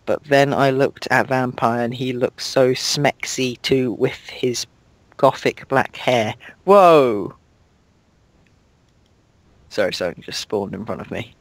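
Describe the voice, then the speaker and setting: A man's voice: mid-range voice, no room sound. A man reading a fan story aloud in a mock-dramatic way, stumbling over words and laughing at the text.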